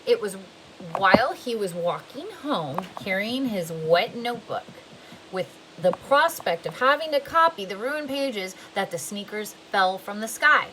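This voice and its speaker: A woman's voice: medium pitch, expressive, measured pace, bright, forceful tone, a little hiss or hum, poor audio. A woman reading a novel aloud in a plain way, sometimes stumbling and restarting a word. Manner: plain, occasionally restarting words. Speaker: reader.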